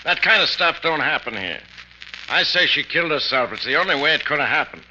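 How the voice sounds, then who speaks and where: An actor playing a stubborn, folksy small-town police chief who talks bluntly and dismissively in a 1950s radio mystery. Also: male voice, on the high side, expressive, fairly quick, sharp tone. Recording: dull, hissy 1950s radio recording.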